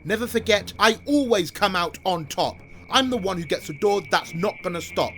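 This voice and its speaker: Audio drama hero gone bad, male actor: boastful, sneering, theatrical rhymes, pitched highish with big swoops. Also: expressive, moderate pace, music in the background. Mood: threatening, boastful. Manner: taunting, pompous, sneering, theatrical. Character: bullying, boastful fictional hero man turned on his author. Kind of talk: rhyming scripted audio drama.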